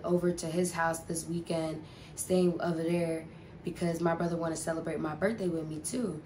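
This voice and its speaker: A woman's voice: fairly low pitch, slight background noise. A young woman telling a casual storytime, rambling and profane with lots of filler words. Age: young adult. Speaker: vlogger.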